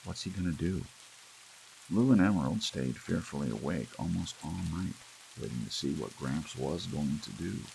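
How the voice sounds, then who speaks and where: Very deep, gravelly man narrating. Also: animated, moderate pace. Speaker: audiobook narrator. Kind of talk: scripted story narration, relaxed bedtime reading. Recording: faint hiss and rain sound. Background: soft rain.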